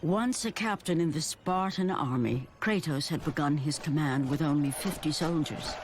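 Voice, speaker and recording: A man narrating a video game story in a grave, measured storyteller's voice. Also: on the high side, natural voice. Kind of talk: scripted narration. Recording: loud background noise.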